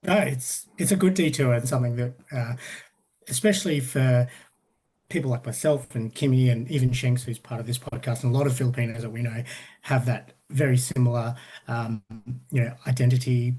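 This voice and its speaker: A man hosting a podcast, talking in a relaxed, easygoing way. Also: mid-range voice, a little fast, breathy edge. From Australia. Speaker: podcast host. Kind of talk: casual chat.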